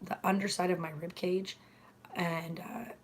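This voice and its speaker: A woman hosting her own live stream, chatting casually with viewers, her voice hoarse from a recent illness, halting with many fillers and throat-clearing. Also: fairly low pitch, little pitch movement, a little hiss or hum. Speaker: channel host streaming live.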